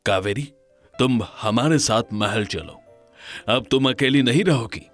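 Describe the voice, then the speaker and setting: An earnest cartoon storybook king, acted by a man with an authoritative, formal way of speaking. He takes his words slowly at a middling pitch. Music plays softly underneath. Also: close to an everyday voice. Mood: calm, caring. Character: earnest, authoritative adult Indian king in a folk tale. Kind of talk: dramatised cartoon storybook dialogue.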